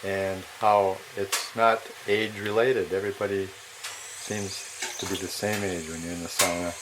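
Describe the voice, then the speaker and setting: A man in his eighties speaking in a relaxed, reflective way. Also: deep voice, normal speed, heavy background noise. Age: 80s. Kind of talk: short spoken greeting.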